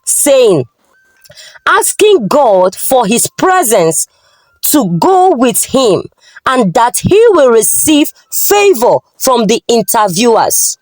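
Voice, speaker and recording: Nigerian female folktale narrator, slow pace, very lively pitch, close dry sound. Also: no room sound, poor audio. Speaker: storyteller.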